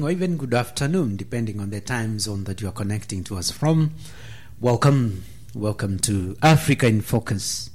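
A man's voice: mid-range voice, darkish tone. A man hosting a radio talk show from a studio, welcoming listeners and his guest with many ums and uhs.

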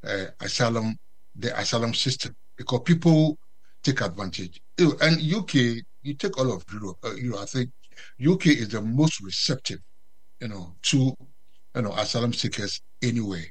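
A man from Ghana giving unscripted commentary as a guest, halting and full of fillers and restarts. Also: mid-range voice, expressive, breathy edge. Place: UK resident.

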